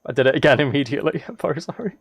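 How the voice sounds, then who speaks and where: A man's voice: on the high side, racing, creaky, husky. A British man streaming a video game, chatting casually in a rambling, self-deprecating and apologetic way. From United Kingdom. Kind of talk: casual livestream chat while gaming.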